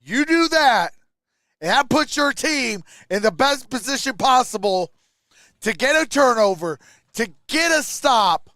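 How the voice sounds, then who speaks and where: High-voiced man commentating as a fan. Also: sing-song, a little slow, pushed and bright, no room sound. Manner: partisan fan, talks to viewers. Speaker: sports livestream host, fan commentator. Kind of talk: live fan reaction and play-by-play commentary.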